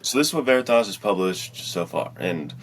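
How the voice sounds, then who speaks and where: A man's voice: lowish voice, sing-song, fairly quick, a little hiss or hum. A man co-hosting a podcast in a casual, slangy, hyped way, heaping emphatic praise on books. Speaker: podcast co-host and writer. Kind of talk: casual book chat.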